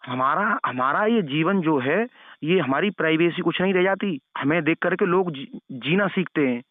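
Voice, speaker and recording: A man's voice: on the high side, normal speed, no room sound. A man preacher giving elder-brotherly, moralizing advice in a phone conversation, repeating his points for emphasis. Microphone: phone call. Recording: narrow tinny phone call recording.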